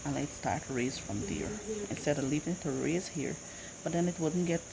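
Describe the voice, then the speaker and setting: A woman's voice: sits low, expressive, fairly quick, vocal fry. A woman with a Guyanese accent chats casually to viewers in a home cooking vlog, thinking aloud and repeating herself. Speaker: cooking vlogger. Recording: noisy home recording with insect chirps.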